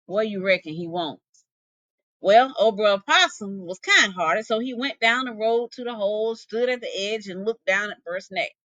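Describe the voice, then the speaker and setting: A woman's voice: on the high side, normal speed, few pauses, fairly bright tone, no room sound, highs cut off. A woman narrating a folktale expressively, with folksy dialect phrasing and dramatic delivery. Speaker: storyteller, English teacher.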